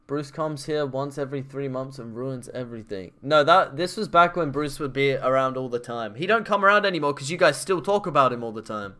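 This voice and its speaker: Bright-voiced twenties male streamer. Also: mid-range voice, brisk, hardly any pauses. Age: 20s. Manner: loose, profane banter with chat. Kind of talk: casual reaction commentary.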